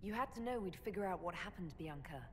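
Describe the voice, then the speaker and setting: A woman's voice: fairly low pitch, animated, fast, close to an everyday voice. An actress playing a firm, no-nonsense female leader in a video game, direct and probing. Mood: stern. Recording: reverberant hall, clear background noise.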